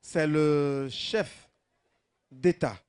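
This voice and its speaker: A man's voice: fairly high pitch, extremely slow, darkish tone, clean tone. A man emceeing a live stage show, formally greeting dignitaries and rallying the audience. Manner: formal announcer, hyping the crowd between acts.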